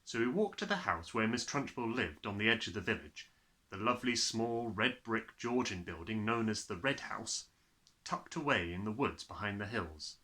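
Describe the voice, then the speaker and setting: A man narrates a children's book as an audiobook reader. His voice is bright and forward, with very animated pitch movement that gives the telling an expressive shape. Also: normal speed.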